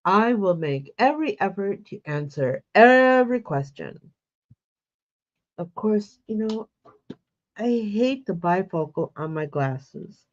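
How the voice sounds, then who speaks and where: A woman's voice: medium pitch, animated, slow pace, dull audio. A woman hosting a casual embroidery livestream, a little congested from a cold, chatting and greeting viewers by name.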